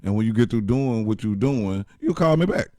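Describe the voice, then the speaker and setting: A man with a deep voice gives blunt, profane relationship advice in casual chat. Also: lively intonation, normal speed, mellow, no room sound, clean studio sound.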